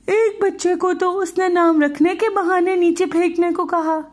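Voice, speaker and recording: Naive male storybook owl acted by a woman: high, plaintive, simple-minded and timid. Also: steady tone.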